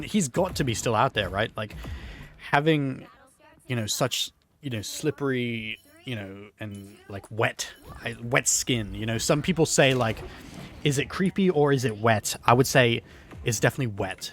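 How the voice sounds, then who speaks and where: A hoarse man rambling through an absurd story over gameplay, full of fillers and restarts. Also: medium pitch. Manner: rambling, filler-heavy, absurd deadpan tales. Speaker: gaming YouTuber.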